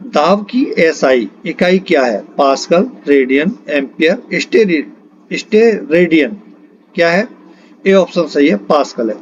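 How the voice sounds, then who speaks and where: Higher-pitched man teaching, dull lo-fi sound. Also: a little mellow. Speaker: exam-prep physics teacher. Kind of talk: lesson reading out multiple-choice questions and answers.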